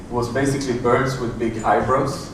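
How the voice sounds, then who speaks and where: A middle-aged man giving a conference talk in a large hotel room, halting with many fillers and restarts. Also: medium pitch, level tone. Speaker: conference presenter. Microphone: room audio from a far camera. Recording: echoey, distant room recording with noise.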